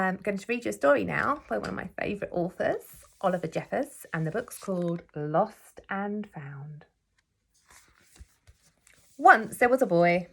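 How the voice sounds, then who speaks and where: A woman reading a children's story aloud in an expressive, measured way for young listeners. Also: mid-range voice, gravelly, slightly rough. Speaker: infant school staff member reading to pupils.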